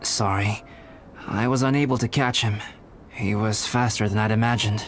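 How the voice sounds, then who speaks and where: Man voicing a calm, aloof young scholar. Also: fairly low pitch, background noise, natural voice. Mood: calm, detached. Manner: measured, detached, formal. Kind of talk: video game voice acting.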